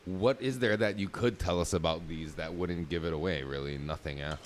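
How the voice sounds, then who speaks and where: A man's voice: sits low, brisk. A man chatting casually, with an inquisitive, slangy way of talking. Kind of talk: casual chat. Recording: room recording with clear background noise.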